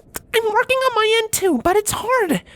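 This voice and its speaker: A very high-pitched young pony princess, as a woman narrator puts her on, reluctant and remorseful. She speaks in a hesitant, grudging, defensive way, as a character in a storybook reading. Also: lively intonation, moderate pace, a bit bright, dry recording. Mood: sulky, defensive. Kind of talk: fan fiction storybook reading.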